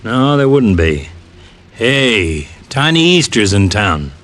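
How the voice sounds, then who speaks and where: Lively American police lieutenant. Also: male voice, lowish voice, takes it slowly, full character voice. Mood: businesslike. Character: businesslike, friendly male police lieutenant. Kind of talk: old-time radio detective drama dialogue. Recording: old radio recording, faint noise.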